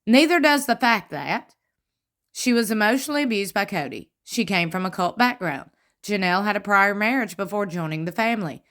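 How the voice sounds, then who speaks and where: A woman, commenting on a reality show, reading fan posts aloud and adding wry asides while sitting in a squeaky chair at home. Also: animated, brisk, a bit bright. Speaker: commentary vlogger.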